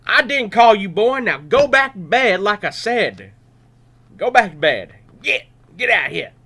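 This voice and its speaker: A cranky old priest in a children's Bible story, woken from sleep and short of patience. A man puts him on, pitching his voice up and getting louder as he scolds firmly. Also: very animated, slow pace, exaggerated voice. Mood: irritated. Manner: firm, scolding. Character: old, tired, grumpy male priest.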